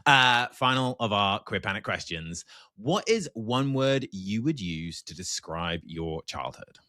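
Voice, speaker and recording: A man's voice: lowish voice, lively intonation, unhurried, sharp tone. A man hosting a podcast interview, affirming and enthusiastic as he responds and asks questions. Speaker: podcast host. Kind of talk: conversational interview.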